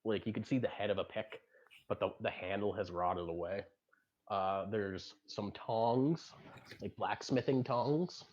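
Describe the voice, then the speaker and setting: Low-voiced man as halting game master. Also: darkish tone, dull audio. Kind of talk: improvised narration of a tabletop game.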